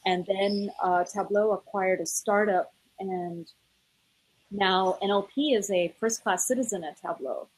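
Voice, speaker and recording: Slightly husky woman, dry close sound. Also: medium pitch, a little slow, dry recording, poor audio. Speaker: research manager on a panel. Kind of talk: conference panel storytelling.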